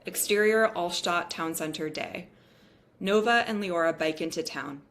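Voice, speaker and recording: Low-voiced woman narrating, some background noise. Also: unhurried, a bit bright. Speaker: narrator of stage directions. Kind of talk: scripted narration at a table read.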